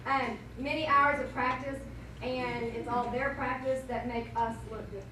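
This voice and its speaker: Hoarse woman in an echoey hall. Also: fairly high pitch, steady tone, unhurried. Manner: rambling, self-correcting, chatty. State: hoarse, losing her voice. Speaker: cheer coach. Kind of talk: banquet thank-you speech. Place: school banquet room, indoors. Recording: echoey hall from far off, noisy.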